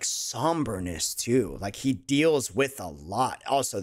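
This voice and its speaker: A young adult man giving excited, slangy, effusive casual reaction commentary on a video game at his desk. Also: medium pitch. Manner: effusive, slangy, uses bro and dude. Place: small indoor room at a desk. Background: game trailer music.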